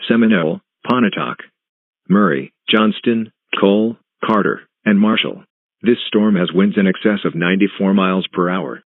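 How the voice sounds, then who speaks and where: Through a narrow, phone-like band comes a deep-voiced man reading scripted emergency weather warnings. He is formal and deliberate, setting out each phrase in measured fashion. The sound is dry, with no room around the voice. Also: no room sound. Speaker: emergency alert announcer.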